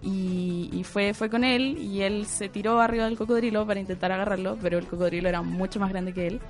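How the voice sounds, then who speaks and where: A woman's voice: medium pitch, fairly even intonation, a little fast, faint music behind. A woman co-hosting a casual podcast chat, telling a story with many fillers and frequent laughs. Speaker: co-host presenting the segment.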